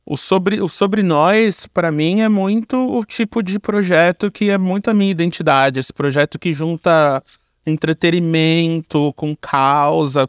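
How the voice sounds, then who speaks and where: Brazilian man with a slightly high, dry-sounding voice. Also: clean tone, no room sound. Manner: informal, slangy, thinking aloud. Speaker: podcast guest, content creator and director. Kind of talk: casual reflective interview talk.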